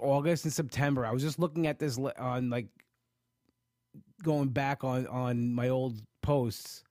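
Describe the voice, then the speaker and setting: A man's voice: medium pitch, a little mellow, no room sound, clean studio sound. A man with a stuffed-up nose chatting casually to viewers about his weight loss, rambling with many restarts. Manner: rambling, frequent restarts and "you know". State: stuffed up from allergies. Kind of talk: casual livestream chat reading viewer comments.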